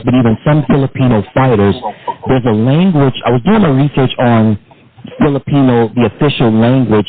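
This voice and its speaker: Courteous male interviewer on a phone-like line. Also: mid-range voice. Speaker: talk show host interviewer. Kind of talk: formal, measured interview questioning.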